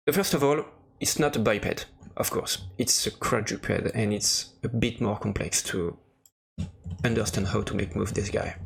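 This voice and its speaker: A man who speaks English as a second language, presenting an informal software demo in a halting, hesitant way with frequent pauses. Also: mid-range voice, vocal fry, slightly rough. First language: non-native English speaker.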